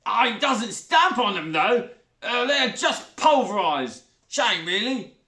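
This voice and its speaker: Man playing a shrill, brash stepmother. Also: sing-song, pushed and bright, distant mic, exaggerated voice. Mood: furious. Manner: shrill, brash, drawn-out oohs. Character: loud coarse spiteful middle-aged stepmother. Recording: roomy echo.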